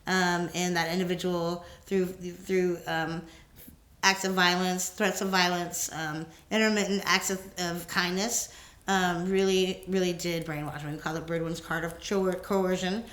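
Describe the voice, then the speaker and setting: This is a woman co-host with a somewhat low voice who speaks steadily and articulately. She affirms her partner and tells her own testimony plainly. The room around her sounds echoey. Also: flat delivery, measured pace, a bit bright. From Houston, Texas. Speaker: podcast co-host. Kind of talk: casual conversation and personal testimony.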